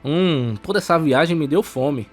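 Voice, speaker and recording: Man playing an easygoing, animated young brother. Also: fairly high pitch, normal speed, soft background music, voice pushed up, close to an everyday voice. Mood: cheerful, teasing. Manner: casual, animated. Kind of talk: audiobook character acting.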